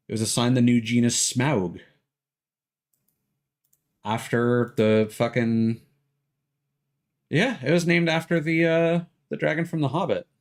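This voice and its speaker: A man doing casual, rambling livestream commentary over a video game, thinking aloud as he goes. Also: medium pitch. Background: music behind the voice.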